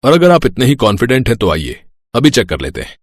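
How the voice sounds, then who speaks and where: A man's voice: mid-range voice, wildly swooping pitch, fast, no room sound, clean studio sound. A man playing a firm, reassuring young doctor in a moral story. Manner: assured, advising.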